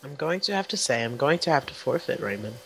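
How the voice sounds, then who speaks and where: A man's voice: medium pitch, expressive, fast, gravelly, a bit bright, a little hiss or hum. A man joking around as a guest player in casual game chat. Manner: joking, teasing.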